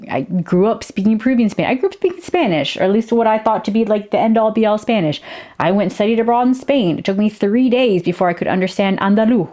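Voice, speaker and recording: A woman's voice: medium pitch, dark tone. A woman giving casual reaction commentary, rambling with many restarts and fillers. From United States. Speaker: YouTuber reacting to a video.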